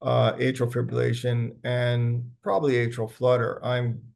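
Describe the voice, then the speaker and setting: A male physician answers health questions on a live panel, his voice gravelly with fry. He talks conversationally, pausing often and restarting sentences as he explains. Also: mid-range voice, monotone, a little mellow. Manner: conversational, frequent fillers and restarts. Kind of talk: medical panel Q&A.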